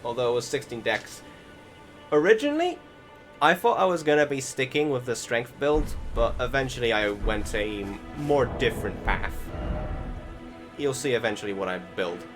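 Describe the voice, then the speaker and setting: A man's voice: medium pitch, lively intonation, moderate pace. A young man giving casual voice-over commentary on his gameplay, rambling with many fillers and restarts. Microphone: desktop recording setup. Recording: game music behind the voice.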